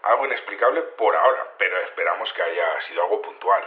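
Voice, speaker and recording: An actor playing a matter-of-fact security investigator, explaining hesitantly over a phone-like radio link. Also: male voice, low voice, lively intonation, quick pace. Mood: hesitant, earnest. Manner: hesitant, stumbling, earnest explaining. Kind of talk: news phone interview in audio fiction. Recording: roomy, narrow radio or phone line.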